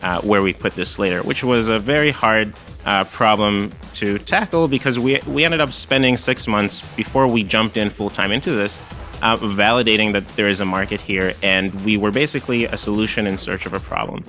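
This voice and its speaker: Bright-voiced easygoing man from Israel. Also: fairly low pitch, moderate pace, soft background music. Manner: easygoing, laughs readily, hesitant with fillers. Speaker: guest, startup CTO. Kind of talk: casual interview.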